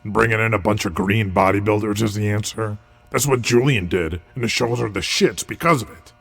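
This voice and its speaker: A man playing a miserable, stubborn old wrestling veteran in an audio drama, gravelly and curt, with a cynical grumble. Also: fairly low pitch, fairly quick, fairly bright tone, heavily acted. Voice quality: gravelly, raspy.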